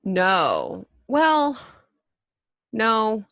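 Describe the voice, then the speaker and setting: A woman's voice: wildly swooping pitch, extremely slow, fairly bright tone, no echo. A woman co-hosting a casual chat podcast, with a valley-girl way of talking. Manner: valley-girl way of talking, by her own account; swoops up into high put-on voices. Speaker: podcast co-host.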